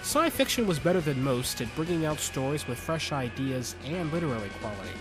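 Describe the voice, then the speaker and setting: A man hosting a podcast and reading fiction from a script, earnest and measured, with deliberate phrasing. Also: on the high side, sing-song, music in the background, rough recording. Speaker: podcast host and story reader. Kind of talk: scripted commentary and story narration.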